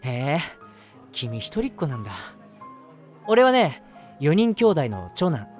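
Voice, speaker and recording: A man's voice: a little high, sing-song, slow pace, music in the background. A man voicing an eager, easily flustered young man in love, chatty and self-deprecating, in a romantic audio drama. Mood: giddy, eager. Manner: chatty, self-deprecating, earnest, hesitant asides. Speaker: voice actor.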